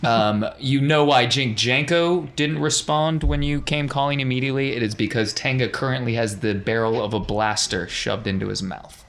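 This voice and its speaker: A man's voice: medium pitch, moderate pace, gravelly, few pauses, a bit bright. A man acting as game master, narrating a tabletop roleplaying game in a casual, explanatory way. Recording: faint music behind the voice.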